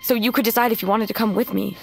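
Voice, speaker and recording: Brief: wounded young woman bent on revenge, earnest, heavy vocal fry; audio fiction over soft music. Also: fairly high pitch, steady tone, racing. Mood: hesitant, pleading. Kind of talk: naturalistic audio drama acting.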